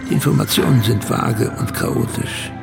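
Gravelly, brooding male narrator. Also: medium pitch, moderate pace, over loud music. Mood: somber, detached. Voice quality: gravelly, creaky. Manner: terse, solemn, poetic. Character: brooding second-person narrator, intimate and fatalistic. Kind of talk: scripted literary radio drama narration.

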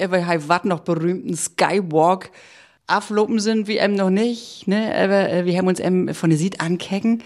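Female radio interviewer, Low German northern accent, casual and chatty, mid-pitched. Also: warm tone.

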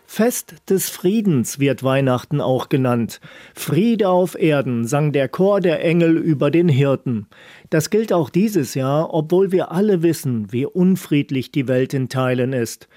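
Male radio host, fairly high but mellow, very dry studio sound. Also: animated, unhurried, dry recording. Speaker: radio presenter. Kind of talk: scripted radio presentation.

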